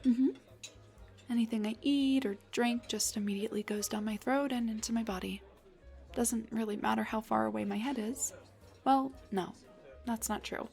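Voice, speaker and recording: A young woman voicing an embarrassed, self-deprecating headless dullahan on a date, in an intimate roleplay, breathy and hesitant, often slipping towards a whisper. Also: fairly high pitch, normal speed, darkish tone, faint music behind. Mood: relaxed, chatty. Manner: hesitant, stammering, joking. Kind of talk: intimate audio roleplay acting.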